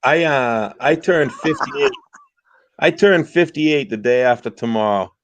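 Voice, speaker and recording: A man's voice: medium pitch, unhurried, background noise. A man in his fifties from the United States, calling into a livestream for casual political chat, blunt and repeating himself as he stammers into his points. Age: 50s. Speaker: caller on a livestream.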